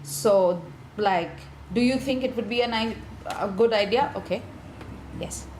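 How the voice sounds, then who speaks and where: A woman hosting a community meeting discussion, speaking in halting, hesitant phrases. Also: fairly high pitch. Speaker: panel discussion host. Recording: noisy conference room ambience.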